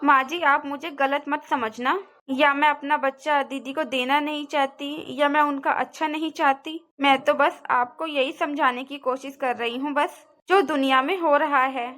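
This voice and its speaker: Woman as high-pitched, earnest young daughter-in-law. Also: fairly even intonation, moderate pace, hardly any pauses, a bit bright. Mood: earnest pleading. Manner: earnest, polite, pleading. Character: worried, respectful young daughter-in-law and mother. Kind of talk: cartoon family drama acting.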